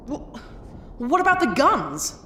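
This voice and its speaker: A woman's voice: sits high, very animated, slow pace, sharp tone, echoey room, slight background noise, full character voice. A woman playing a stern, exasperated spaceship captain with a blunt, sceptical manner in a sci-fi audio drama. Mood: sceptical, confused.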